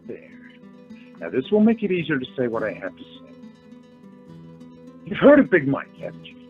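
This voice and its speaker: A man's voice: mid-range voice, wildly swooping pitch, moderate pace. A man acting an earnest, hesitant young New York suitor in a radio play, in muffled audio. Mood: evasive, troubled. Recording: muffled lo-fi audio with music behind.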